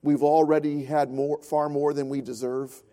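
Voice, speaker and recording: A man's voice: fairly high pitch, little pitch movement, normal speed, mellow. A man preaching a sermon as pastor, telling a story and then building earnest, repeated points.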